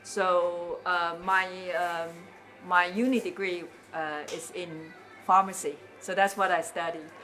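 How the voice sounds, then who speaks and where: Lowish-voiced woman with a Taiwanese accent. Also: fairly even intonation, slow, a bit bright, soft background music. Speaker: interviewee, cafe owner. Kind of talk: informal explanatory interview talk.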